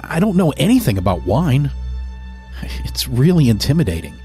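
A man's voice: medium pitch, big pitch swings, moderate pace, dark tone, soft background music, natural voice. A man playing a timid, indecisive, suggestible shopper with a hesitant delivery in a comic horror sketch. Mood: nervous, overwhelmed. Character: timid, indecisive wine shopper falling into a trance.

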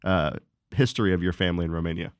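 Deep voiced guy host, talks fast, chatty, dry close mic. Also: steady tone, dry recording. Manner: chatty, quick, jokes and asks rambling questions. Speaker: podcast interviewer. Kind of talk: casual interview conversation.

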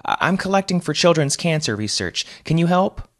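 A man's voice: a little high, sing-song, fairly quick, voice pushed up. An earnest woman collecting for charity pleads for a donation in a raised, hopeful voice, as a male narrator puts her on. She sounds polite but insistent. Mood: pleading.

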